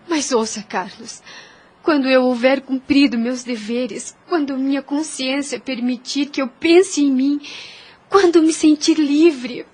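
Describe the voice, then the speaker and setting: Woman acting a high-voiced, dutiful young maiden. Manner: earnest, formal diction. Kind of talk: audio drama acting. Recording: music under the voice. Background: music.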